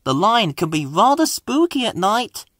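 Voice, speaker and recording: A man voicing a friendly female diesel engine in a storybook, brisk and cautioning. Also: high-pitched, sing-song, a bit bright, no room sound, clean studio sound, voice pushed up, full character voice.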